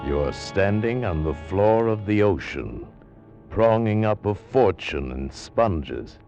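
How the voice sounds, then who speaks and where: A man narrating deliberately and ominously in old radio suspense style. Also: lowish voice, expressive, music in the background. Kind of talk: dramatic scripted second-person radio narration. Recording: dull old radio broadcast.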